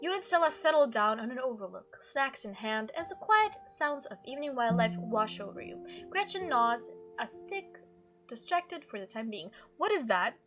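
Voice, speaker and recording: A woman's voice: a little high, big pitch swings, normal speed, music in the background. A woman hosting a game playthrough, reading dialogue aloud expressively and adding her own commentary. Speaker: gaming video host.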